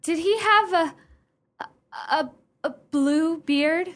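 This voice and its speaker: Woman playing a high, fretful, melodramatic lady. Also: slow, a bit bright, exaggerated voice. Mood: anxious. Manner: theatrical, genteel, easily alarmed. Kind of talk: radio play acting.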